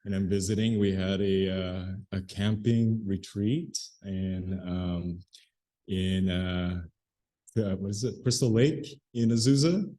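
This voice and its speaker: A man's voice: low-pitched, slow pace, mellow. A man introducing himself as a visitor at a church, hesitant, with many fillers. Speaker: visiting congregant. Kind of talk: informal self-introduction.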